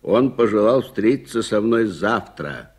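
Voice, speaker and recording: An actor playing a proud, gruff old frontier businessman in a radio play, speaking brusquely and emphatically. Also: on the low side, lively intonation, unhurried, darkish tone, slight background noise. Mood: brusque, insistent. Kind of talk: Soviet radio play acting.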